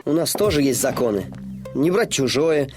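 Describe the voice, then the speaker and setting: An actor playing a solemn male cartoon character in a dub, speaking in a measured, declarative way. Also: medium pitch, big pitch swings, darkish tone, close to an everyday voice. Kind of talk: dubbed cartoon acting. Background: music under the dialogue.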